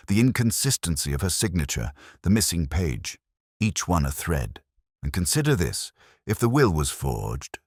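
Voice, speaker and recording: Man voicing a deep, measured Victorian detective. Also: darkish tone, breathy edge, dry recording, studio quality, full character voice. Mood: coolly certain. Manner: measured, formal, probing. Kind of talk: audiobook character dialogue.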